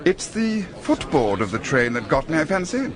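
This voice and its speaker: An actor playing a brusque, stoical injured doctor with an educated English accent, shaken and in pain, in a radio drama. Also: male voice, a little high, big pitch swings. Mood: wry, shaken. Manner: clipped, brisk, matter-of-fact. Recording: busy crowd noise behind.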